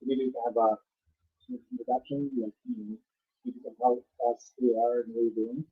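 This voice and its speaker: A man's voice: medium pitch, very animated, fairly quick, husky. A man hosting a meetup, hesitantly inviting newcomers to introduce themselves. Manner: hesitant, friendly prompting questions. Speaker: meetup host. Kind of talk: casual hosting chat. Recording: dull recording with loud noise.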